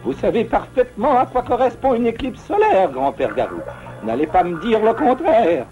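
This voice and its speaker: A wise old cartoon animal doctor, very high in pitch with lively swings. He is pedantic and admonishing, heard on a dull soundtrack. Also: normal speed, faint music behind. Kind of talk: French cartoon dub acting.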